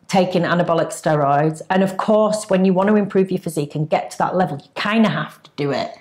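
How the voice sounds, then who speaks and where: Low-voiced woman co-host, earnest. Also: fast talker, a little mellow. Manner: earnest, advising. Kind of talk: casual podcast chat.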